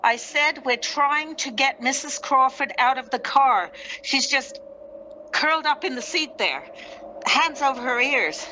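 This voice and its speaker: A woman's voice: sits high, lively intonation, a little slow, heavily acted. A woman playing a female rescue officer reporting over two-way radio in a radio play, procedural and a little hesitant. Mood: businesslike, uneasy. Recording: muffled radio sound, loud background noise.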